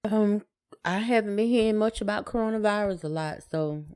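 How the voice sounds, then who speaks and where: A woman co-hosting a casual podcast, answering in brief, low-key, agreeable replies. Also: brisk, darkish tone, smooth. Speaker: podcast co-host. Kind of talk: casual conversational podcast chat.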